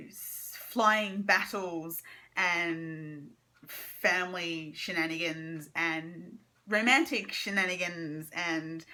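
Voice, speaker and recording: Bright-voiced woman chatting about books. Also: lowish voice. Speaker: book vlogger giving a monthly reading wrap-up. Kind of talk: casual chatty book review to camera.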